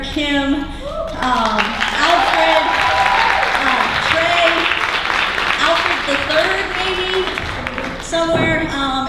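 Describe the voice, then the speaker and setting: A woman hosts an event with a welcome speech. Her voice is high. It rings heavily around a hall, with loud crowd noise throughout. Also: recorded from a distance. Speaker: event host. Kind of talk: welcome address to an audience.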